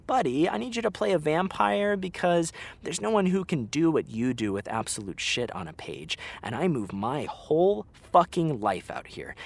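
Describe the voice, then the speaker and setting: A man's voice: fairly high pitch, creaky, slightly rough, full character voice. A man playing a hurt, fiery actor fed up with an old friend, speaking emphatically and sarcastically in a naturalistic audio drama. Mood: angry, incredulous.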